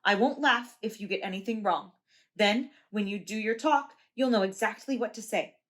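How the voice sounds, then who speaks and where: A calm, helpful young boy in a picture book who explains things patiently and reassures his friends. He talks gently and steadily, read aloud by a woman. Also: mid-range voice, normal speed, lightly acted. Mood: reassuring. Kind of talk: children's storybook read-aloud.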